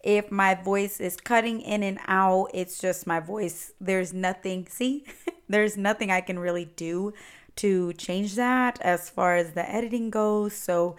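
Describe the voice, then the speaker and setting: A woman, hosting a solo podcast and chatting warmly as she answers listener questions. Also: medium pitch, fairly even intonation, unhurried, clean tone. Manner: chatty, encouraging, advice-giving. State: slightly raspy voice. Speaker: podcast host.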